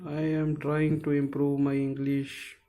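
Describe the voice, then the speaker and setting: Slow, halting man. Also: a little high, completely flat delivery, mellow. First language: Punjabi. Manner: hesitant, searching for words, many fillers. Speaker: DIY maker. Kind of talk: casual how-to narration.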